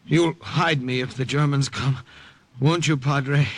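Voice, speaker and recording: An actor playing an exhausted, determined American army captain in an old-time radio drama, with halting, repeated phrases. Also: male voice, medium pitch, fairly even intonation, close to an everyday voice. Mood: weary, desperate. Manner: halting, repeats words in fragments. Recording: old radio recording, faint music behind.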